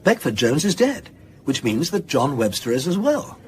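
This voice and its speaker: An actor playing a pedantic male lecturer who relishes his own revelations, with theatrical emphasis and drawn-out pauses in a radio drama. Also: fairly high pitch, sing-song. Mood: excited, revelatory. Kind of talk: naturalistic radio drama acting.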